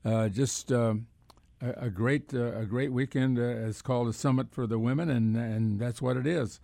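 A male sports radio co-host with a relaxed, mellow voice. He speaks off the cuff in a halting, hesitant way, often repeating a word before going on. The sound is dry. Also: medium pitch, creaky, no room sound. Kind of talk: unscripted sports talk.

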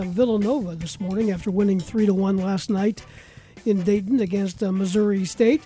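A man's voice: sits high, dark tone, poor audio. An American man hosting a live sports talk radio show.

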